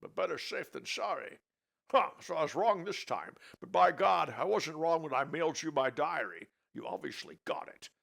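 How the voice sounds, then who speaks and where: A man's voice: fairly high pitch, expressive, normal speed, gravelly, fairly bright tone, pitched above the performer's own voice, full character voice. A man voicing an absent-minded elderly scholar father in a fussy, raised voice. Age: older.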